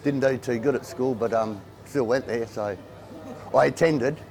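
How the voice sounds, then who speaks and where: A man's voice: medium pitch, measured pace, a little mellow, faint music behind. A man with an Australian accent, speaking plainly and hesitantly in a documentary interview.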